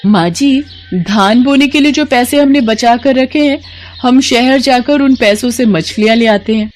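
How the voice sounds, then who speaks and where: A woman's voice: fairly high pitch, unhurried, slight background noise, pitched above the performer's own voice, natural voice. A woman voicing a hopeful, respectful young village daughter-in-law in a cartoon story, reassuring and eager. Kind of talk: Hindi cartoon story dialogue.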